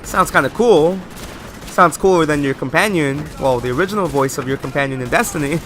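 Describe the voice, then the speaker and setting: A man's voice: on the high side, brisk, a bit bright, faint music behind. A man giving post-recorded commentary over gameplay, chatty and rambling, often asking viewers questions. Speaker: let's play host.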